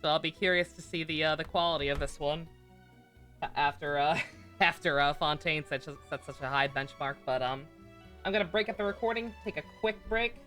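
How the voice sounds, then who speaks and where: Bright-voiced, rambling male streamer. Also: a little high, a little fast, soft background music. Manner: rambling, enthusiastic. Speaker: game streamer. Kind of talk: casual livestream commentary.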